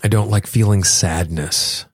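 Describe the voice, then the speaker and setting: Male self-help coach and host, lower register, conversational and instructive, dry recording. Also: steady tone, unhurried, gravelly, no room sound. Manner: explanatory, instructing the listener directly. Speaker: podcast host and tapping coach. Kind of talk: conversational self-help talk and guided tapping exercise.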